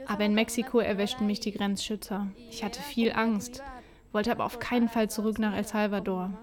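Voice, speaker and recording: Calm, unhurried female dub voice. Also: medium pitch, crowd noise. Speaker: voice-over translator. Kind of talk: voice-over dubbing in first person.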